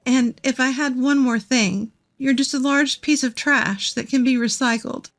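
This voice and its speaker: A woman's voice: high voice, fairly even intonation, measured pace. A woman voicing a middle-aged divorced woman in an audio story, scornful, blunt and self-assured. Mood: scornful, triumphant. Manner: indignant, cutting, firm.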